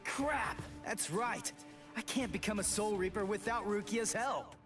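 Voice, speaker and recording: A man's voice: high-pitched, unhurried, a bit bright, recorded from a distance, faint music behind. An actor voicing a brash, hot-headed teenage boy hero in an anime game, blunt and defiant. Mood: frustrated. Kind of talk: English-dubbed anime game voice acting.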